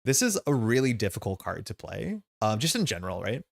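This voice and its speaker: A man's voice: on the low side, big pitch swings, brisk, gravelly, no echo. Rambling man, dry close sound. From Canada. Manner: rambling, thinking aloud. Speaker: live streamer playing a card game. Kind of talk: casual live stream commentary.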